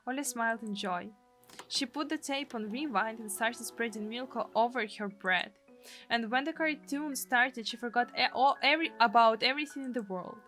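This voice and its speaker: A woman's voice: a little high, moderate pace, fairly bright tone, faint music behind. A woman from Russia, reading a visual novel's story aloud expressively as a video host.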